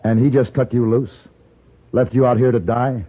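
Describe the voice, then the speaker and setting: An actor playing a calm, wry American gunfighter in an old-time radio western, measured and plain-spoken in narration and dialogue. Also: male voice, medium pitch, breathy edge, lightly acted. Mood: concerned, helpful. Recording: tinny old radio broadcast with music.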